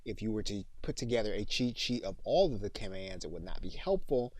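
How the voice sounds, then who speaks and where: Man, lowish voice, tutorial narration, explanatory delivery, software instructor, dry close sound. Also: lively intonation, brisk, no room sound.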